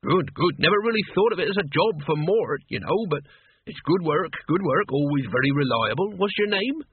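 A man voicing an affable, unfazed middle-aged countryman father, chatting in a mild, halting, rambling way. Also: on the high side, expressive, narrow, tinny audio, slight background noise, voice pushed up, natural voice. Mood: affable, muddled.

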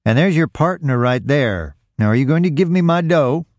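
A man's voice: a little high, animated, normal speed, no room sound, studio quality, pitched above the performer's own voice. A man voicing an angry male robbery victim, confrontational and demanding, in a crime story reading.

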